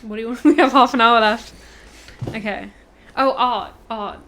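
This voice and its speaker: A woman's voice: a little high, normal speed, a bit bright. A woman guest on a podcast, chatting in a teasing way and laughing often. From Punjab, India. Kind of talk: casual chat.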